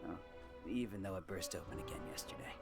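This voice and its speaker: A man's voice: sits low, fast talker, loud music behind the voice. A man playing a restless, cocky wounded young soldier in an anime game, brash and impatient.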